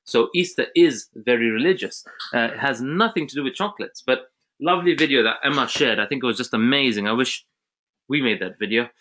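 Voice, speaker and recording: A man's voice: mid-range voice, a little fast, fairly bright tone. A man from Zambia hosting an online webinar, talking casually with many restarts and fillers. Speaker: webinar host.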